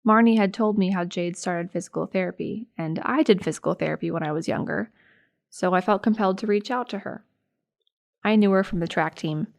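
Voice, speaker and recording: A young American woman playing a sympathetic, earnest high school girl recalling a friend in a naturalistic audio drama. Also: fairly quick, vocal fry, a little mellow, natural voice. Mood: somber, earnest.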